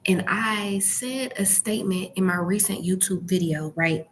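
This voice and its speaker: A woman coaching informally in a hushed, partly whispered voice, emphatic, often checking in with the listener. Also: medium pitch, measured pace, a little hiss or hum. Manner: emphatic, rhetorical questions and frequent check-ins. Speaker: YouTube branding and e-commerce coach. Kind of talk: informal coaching talk to camera. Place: library.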